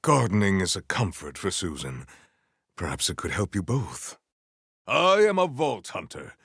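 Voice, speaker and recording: An actor playing a noble, stern warrior in a video game, speaking with formal, grandiose deadpan deliberation. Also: male voice, deep voice, unhurried, slightly rough, dry recording, studio quality, heavily acted. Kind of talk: theatrical video game character acting.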